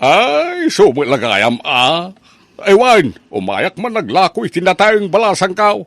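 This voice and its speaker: A man's voice: high-pitched, wildly swooping pitch, measured pace, a bit bright, dry recording, heavily acted. A man playing a folksy older village vendor, jolly and cajoling, drawling and exclaiming in an Ilocano comedy radio drama. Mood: jolly, coaxing.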